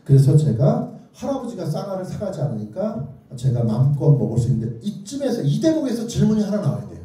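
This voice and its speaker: A man's voice: high voice, wildly swooping pitch, quick pace, mellow. A man giving an interactive lecture in a theatrical storytelling manner, putting either-or questions to his listeners. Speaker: children's author and lecturer. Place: indoor lecture room with strong echo. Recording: very echoey room, distant microphone.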